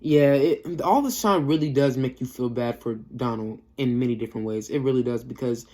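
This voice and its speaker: A man's voice: mid-range voice, normal speed, darkish tone. A young man giving a casual, off-the-cuff music review in a slangy, emphatic way, often repeating himself for effect. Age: young adult.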